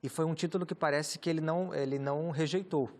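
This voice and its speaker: Brazilian pastor guy explaining bible stuff, measured and patient. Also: on the high side, fairly even intonation, a little mellow. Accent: Brazilian Portuguese. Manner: measured, explanatory, with many rhetorical tags. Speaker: pastor and guest teacher. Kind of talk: explanatory Bible teaching in conversation.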